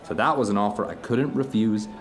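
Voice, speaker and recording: A man's voice: fairly low pitch, a little fast, lightly acted. A man playing an earnest theater director telling stories to an old friend in a conversational audio drama. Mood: amused storytelling. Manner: storytelling, earnest, discursive. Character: animated theater director recounting his travels to an old friend.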